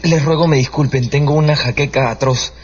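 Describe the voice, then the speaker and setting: A man's voice: a little high, fairly even intonation, a little fast, slight background noise, natural voice. An actor playing a passionate teenage boy in love in a radio drama, earnest and melodramatic. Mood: polite, evasive. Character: passionate, lovestruck teenage boy, bold and pleading. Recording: muffled radio production with loud music.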